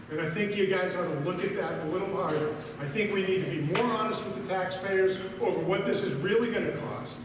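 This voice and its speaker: A man speaking as a resident at a school board meeting, argumentative and pressing the board with questions. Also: high voice. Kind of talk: public comment. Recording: echoey hall from far off, noisy.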